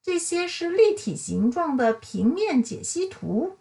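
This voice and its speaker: A woman's voice: very high-pitched, very animated, measured pace, creaky, warm tone, voice pushed up, exaggerated voice. A woman voicing a helpful male carpenter in a storybook, explaining in a matter-of-fact way.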